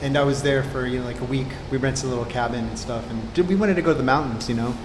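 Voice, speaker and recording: A man of middle pitch asks questions in an easy, conversational way, keeping things friendly with short words of agreement. The room around him has a long reverb tail. A faint noise floor runs underneath. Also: fast talker. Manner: relaxed, affirming with short agreements. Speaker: interviewer for an art magazine. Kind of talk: casual interview chat.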